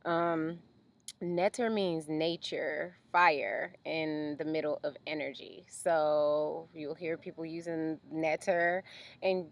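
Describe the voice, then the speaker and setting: Slow, low-voiced young woman vlogging outdoors. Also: fairly even intonation. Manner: loose, chatty, trailing off with ums. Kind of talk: casual explanatory vlog. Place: outdoors at night.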